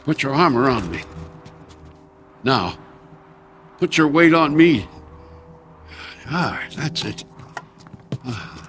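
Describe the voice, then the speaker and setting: Halting, fretful old country husband. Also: male voice, medium pitch, very animated, noisy background. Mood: worried, tender. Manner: halting, stammering, folksy, fussing. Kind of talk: audio drama acting.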